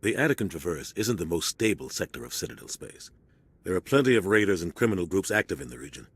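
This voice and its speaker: A serious male starship captain briefs his crew in a very deep, husky voice. The game-cutscene delivery is steady and earnest, recorded close and dry. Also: quick pace, no echo, exaggerated voice. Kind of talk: video game cutscene acting.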